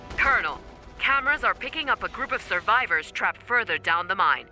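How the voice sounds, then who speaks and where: An actress playing a cool, businesslike military command officer in a video game, briefing in a clipped, formal way. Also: female voice, medium pitch, lively intonation, brisk, bright, forceful tone, soft background music. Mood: alert, businesslike.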